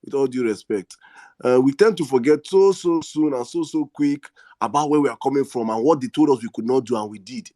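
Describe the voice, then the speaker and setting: A man's voice: medium pitch, fairly quick, warm tone, no echo, studio quality. A man with a Nigerian accent hosting an online discussion, speaking in an emphatic, repetitive, rhetorical way as he gives impassioned political commentary. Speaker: host of an online audio discussion space.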